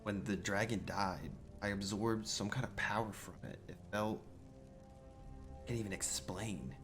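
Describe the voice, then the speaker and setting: A man with a deep voice plays through a role-playing game. He comments casually in character in a low-key, musing way, with music clearly behind him. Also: unhurried. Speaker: video game role-player.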